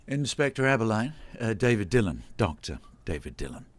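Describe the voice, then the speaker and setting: An actor playing a polite, nervous Victorian gentleman doctor in an audio drama, hesitant and apologetic. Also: fairly low pitch, very animated, brisk.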